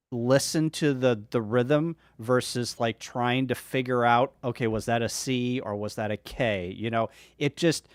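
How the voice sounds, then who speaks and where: A man's voice: medium pitch, clean tone, no echo, studio quality. A man joining a casual livestream chat as a panelist, talking enthusiastically and rambling with many fillers.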